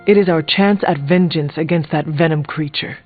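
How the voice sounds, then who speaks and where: An actor playing a loyal, battle-worn warrior hunter in a video game, speaking earnestly and formally in few words. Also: male voice, a little high, normal speed, narrow, tinny audio, faint music behind, natural voice. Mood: grave. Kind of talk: dramatic video game dialogue.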